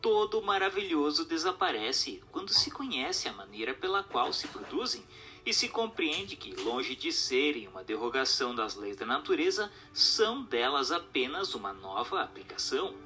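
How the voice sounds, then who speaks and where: A man's voice: on the high side, big pitch swings, moderate pace, pushed and bright. A Brazilian man reading a book aloud as scripted narration, in a formal, didactic manner. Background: background music.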